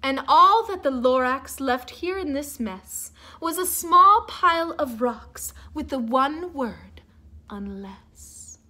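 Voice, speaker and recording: A woman reading a storybook aloud like a teacher to children, theatrical and expressive, with asides to her listeners. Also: sits high. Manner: theatrical, expressive, drawn-out emphasis.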